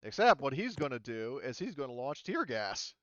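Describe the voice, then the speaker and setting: A man's voice: mid-range voice, big pitch swings, normal speed, dry recording, muffled recording. A man running a tabletop roleplaying game as game master, explaining rules and action casually with some swearing.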